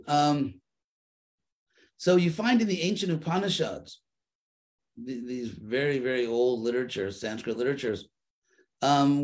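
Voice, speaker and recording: A man of middle pitch leads a study group, answering questions at length. He thinks aloud, stalling and repeating words before landing on his point, and keeps a light touch of humor as he explains. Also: vocal fry, darkish tone. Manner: thoughtful, restarts and repeats words while searching for phrasing. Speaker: spiritual teacher.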